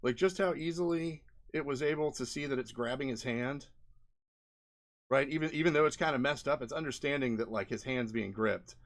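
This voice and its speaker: Guy doing casual unscripted commentary for a video, normal pitched voice, rambles a lot, says like and right constantly, kinda exasperated. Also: brisk. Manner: rambling, frequent "like" and "right?" tags. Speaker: indie game developer presenting a video.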